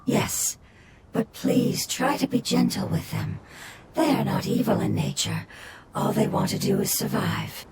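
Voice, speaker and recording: A woman voicing a gentle, caring young female forest spirit who explains things softly in a game. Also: mid-range voice, lively intonation, unhurried, gravelly, very noisy. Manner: soft, explanatory, compassionate. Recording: loud game score music behind.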